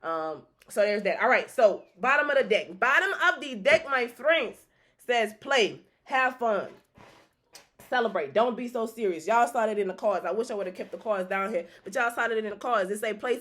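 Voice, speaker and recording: A woman's voice: medium pitch, fairly bright tone. A woman talking to her live-stream viewers as she reads through tarot cards. Her talk is loose and rambling, full of restarts and offhand swearing. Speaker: livestream host reading tarot cards. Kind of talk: casual live-stream chat.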